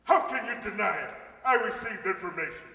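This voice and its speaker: A man playing a stubborn, domineering older father in an old-time radio comedy, emphatic and quick to cut others off. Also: high voice, lively intonation, gravelly, bright, forceful tone, heavily acted. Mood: indignant, bossy. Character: blustering, stubborn wealthy old father, eager for grandson. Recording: distant, echoey hall stage recording.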